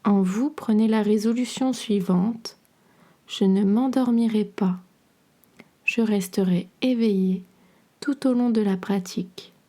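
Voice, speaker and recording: A woman guiding a relaxation meditation in a soothing, measured, instructional way, her soft voice turning breathy at the ends of phrases. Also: medium pitch. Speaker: podcast host and yoga nidra guide.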